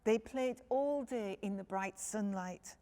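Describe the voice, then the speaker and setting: A woman's voice: medium pitch, takes it slowly, mellow. A woman reading a picture book to young children, phrasing things simply and speaking to her listeners directly. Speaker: children's storyteller.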